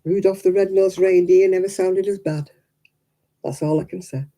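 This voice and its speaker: A British woman with a croaky, hoarse voice chats casually to live viewers in a wry, teasing way. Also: lowish voice, steady tone, normal speed, dark tone. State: hoarse after illness. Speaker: livestreaming crafts vlogger.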